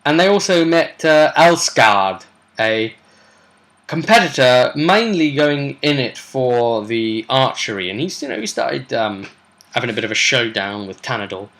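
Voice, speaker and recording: A British man with a bright, forceful voice and an average pitch. He rambles through a tabletop adventure like a game master among friends, chatty and animated, in a casual storytelling style. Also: unhurried. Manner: animated, chatty, acts out brief quotes. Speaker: host and game master recapping sessions.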